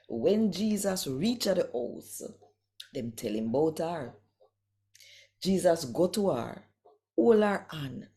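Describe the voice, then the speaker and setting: A Jamaican woman hosting and reading scripture in a deliberate, expressive storytelling manner, with a devotional style. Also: low-pitched. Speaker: host and scripture reader. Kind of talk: devotional reading and channel greeting.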